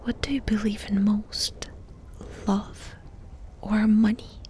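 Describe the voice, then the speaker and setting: A woman doing ASMR in a breathy whisper, softly and intimately asking questions with pauses between them. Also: medium pitch, fairly even intonation, a little hiss or hum. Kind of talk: whispered ASMR personal-attention roleplay.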